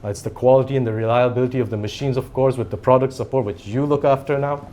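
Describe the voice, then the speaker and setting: A man's voice: mid-range voice, fairly even intonation, fast talker, dark tone. Chatty man in his forties, native Japanese speaker. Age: 40s. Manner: chatty storyteller, laughs between phrases, rambling. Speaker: company CEO, podcast guest. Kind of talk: casual interview conversation.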